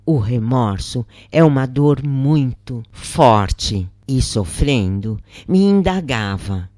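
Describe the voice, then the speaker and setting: A narrator reading a scripted first-person account in measured phrases with pauses. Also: medium pitch, lively intonation, mellow, no echo, clean studio sound.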